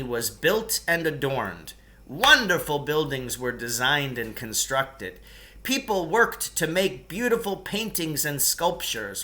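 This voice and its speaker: A man reading a children's novel aloud as a narrator, with expressive, emphatic delivery. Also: on the high side. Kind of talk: storybook read-aloud narration.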